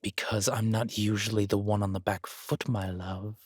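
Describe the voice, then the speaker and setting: A man playing a controlled, vengeful villain king who speaks with measured, quiet menace in an intimate audio roleplay. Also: fairly low pitch, flat delivery, husky, no echo, studio quality. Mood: brooding, angry.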